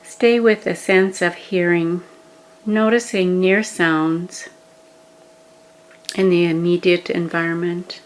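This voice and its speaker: A woman leading a guided meditation, giving scripted instructions in a gentle, measured manner with pauses. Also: lowish voice, steady tone, poor audio.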